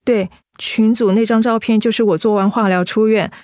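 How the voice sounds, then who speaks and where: An actress playing a gentle, guilt-ridden young woman in a Mandarin radio drama, apologetic and hesitant, with a Taiwanese accent. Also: fairly high pitch, fairly even intonation, normal speed, no room sound, clean studio sound, lightly acted. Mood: apologetic, pained.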